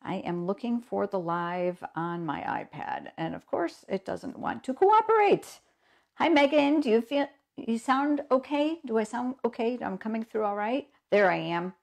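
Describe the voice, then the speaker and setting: A woman's voice: on the high side, lively intonation, a little slow, vocal fry. A woman with a cold hosts a casual live stream, chatting along, greeting viewers by name, her voice a little froggy. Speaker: host of a live stamping show.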